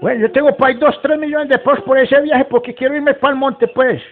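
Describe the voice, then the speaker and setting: A man's voice: very high voice, steady tone, brisk, music in the background. A man voicing a rustic, foul-mouthed, agitated 78-year-old from Antioquia, Colombia, in a folksy, rambling prank phone call. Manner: folksy, rambling, swearing, repetitive. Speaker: prank caller. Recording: muffled, very noisy phone recording with clicks.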